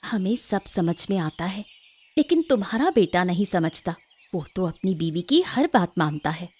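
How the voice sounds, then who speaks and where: A woman voicing a concerned, sympathetic neighbour who gently chides in an acted story. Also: on the low side, animated, moderate pace, breathy edge. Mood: sympathetic, frank. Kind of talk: Hindi audio story acting.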